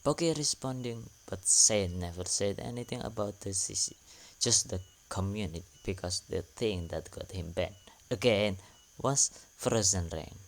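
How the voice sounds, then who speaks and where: A man's voice: on the low side, normal speed. A man narrating a drama recap in plain, matter-of-fact, broken English. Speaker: channel narrator.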